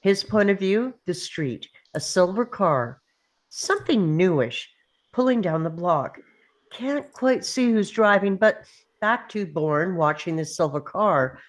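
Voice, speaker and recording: A woman's voice: lowish voice, animated, measured pace. A woman narrating screenplay stage directions in short, clipped, punchy phrases at a table read. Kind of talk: scripted narration of screenplay action.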